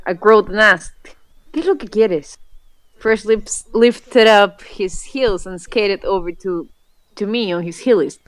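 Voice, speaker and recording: A woman's voice: medium pitch, measured pace, dry recording. Giggly woman reading aloud playfully. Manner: giggly, playful, breaking off mid-sentence. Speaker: fan fiction reader. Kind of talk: casual comedic read-aloud with a friend.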